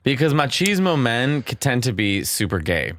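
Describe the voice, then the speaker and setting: A man who does stand-up, guesting on a comedy chat and bantering with quick, playful teasing. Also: medium pitch, big pitch swings, a bit bright, dry recording, studio quality.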